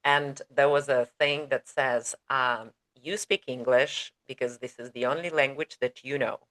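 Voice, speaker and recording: A woman from Eastern Europe with an Eastern European accent, co-hosting a casual podcast chat as an ESL teacher and telling stories with lively laughs. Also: a bass voice, a little slow. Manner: animated storyteller, laughs often.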